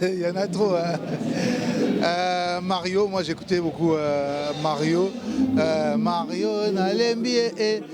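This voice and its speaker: A man's voice: high voice, slow. A man speaking casually in an interview, hesitantly searching for words. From Republic of the Congo. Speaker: event guest being interviewed. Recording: loud crowd noise.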